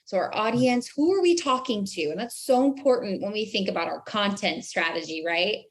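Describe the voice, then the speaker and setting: A woman's voice: mid-range voice, fairly quick. An American woman speaking as an interview guest and branding coach, explaining emphatically and posing rhetorical questions.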